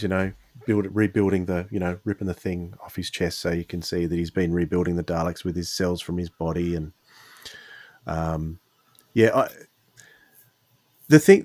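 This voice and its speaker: Low-voiced male podcast host, close and dry. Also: a little mellow, no echo. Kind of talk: casual conversational podcast talk.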